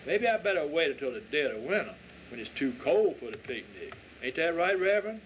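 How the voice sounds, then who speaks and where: A man's voice: fairly high pitch, very animated, brisk. A man voicing a stubborn, boastful little boy from the rural South, arguing insistently in a story reading. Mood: arguing eagerly. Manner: insistent, folksy, argumentative. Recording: dull lo-fi audio with faint noise.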